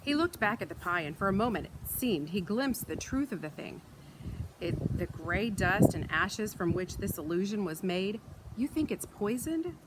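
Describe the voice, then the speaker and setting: A woman reading a children's book aloud in an expressive storytelling style, recorded outdoors. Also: on the low side, normal speed. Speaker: read-aloud reader. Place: outdoors in wind. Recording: light outdoor wind and ambient noise.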